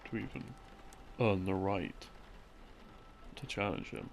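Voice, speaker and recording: A man telling a story in a rambling, intimate boyfriend-roleplay style, halting and musing as his thoughts trail off. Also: deep voice, creaky, a little hiss or hum. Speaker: audio roleplay performer playing a boyfriend. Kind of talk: rambling, improvised intimate storytelling of game lore. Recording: music behind the voice. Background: slow game theme music.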